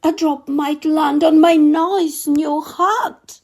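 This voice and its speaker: A woman's voice: high-pitched, steady tone, slow, a little mellow, voice pushed up. A woman voicing a timid, wimpy male cook from a children's storybook in a trembling, plaintive, dramatic way. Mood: scared, whimpering.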